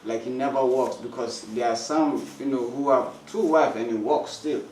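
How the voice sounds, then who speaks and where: A young man talking in a conciliatory, hedging way in a casual group discussion, softening his points with "you know". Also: medium pitch, moderate pace, far from the mic. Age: young adult. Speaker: discussion participant. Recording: echoey room, speaking from off the mic, crowd noise.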